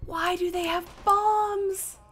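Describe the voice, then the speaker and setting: A woman's voice: very high-pitched, steady tone, takes it slowly, fairly bright tone, a little hiss or hum. A woman streaming a video game, thinking aloud in casual commentary with self-deprecating, exasperated asides.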